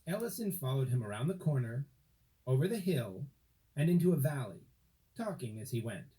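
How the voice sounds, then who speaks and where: A man narrating a children's story from a script, with dramatic, deliberate phrasing and expressive emphasis. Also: medium pitch, poor audio.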